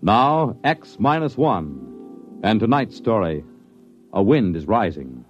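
Male vintage radio announcer: grandiose, hugely animated, lowish pitch, over music on a lo-fi recording. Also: takes it slowly. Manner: grandiose, theatrical. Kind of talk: dramatic 1950s radio announcing. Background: dramatic music.

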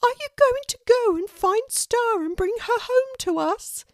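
A woman voicing a sad young female pelican, speaking slowly in a very high, soft, tearful voice. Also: lively intonation, no room sound, full character voice. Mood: pleading.

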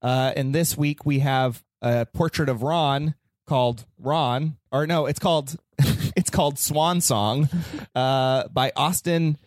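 A man hosting a podcast in casual chat, chatty and quick to laugh, with hedging asides. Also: medium pitch, steady tone, dry recording, clean studio sound. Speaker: podcast host.

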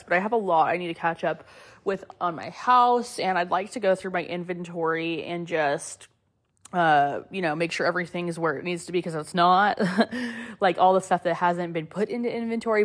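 A young woman hosting a casual podcast, a little hoarse from a cold, chatting loosely with lots of fillers and small laughs. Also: lowish voice. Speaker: podcast host. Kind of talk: casual solo podcast chat.

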